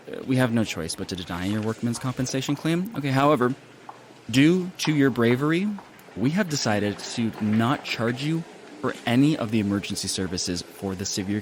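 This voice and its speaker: A man's voice: medium pitch, a little hiss or hum, close to an everyday voice. A man playing an officious hospital administrator. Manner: officious, hurried, awkward. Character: male hospital administrator, bureaucratic. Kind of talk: audio drama acting.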